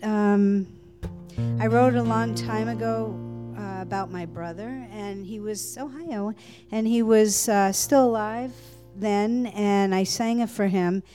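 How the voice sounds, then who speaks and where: A woman's voice: mid-range voice, measured pace. A woman at an open mic chatting in a rambling, self-deprecating way on a stage mic, then singing her own song while playing guitar. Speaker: open mic singer-songwriter. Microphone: stage mic through a PA. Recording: loud guitar under the voice.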